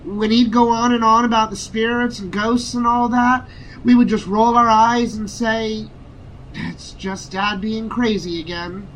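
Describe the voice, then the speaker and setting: An actor playing a grieving young former combat medic in an audio drama, speaking in an emotional, confiding way. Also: male voice, high voice, fairly even intonation, a little slow, a bit bright, slight background noise, rough recording. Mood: bitter, upset.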